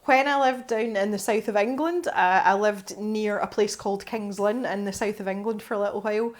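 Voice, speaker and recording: A woman's voice: mid-range voice, fairly even intonation, a little fast. A woman from the west coast of Scotland with a Scottish accent, chatting casually to camera as she answers viewer questions, laughing often and restarting her sentences. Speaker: art channel host.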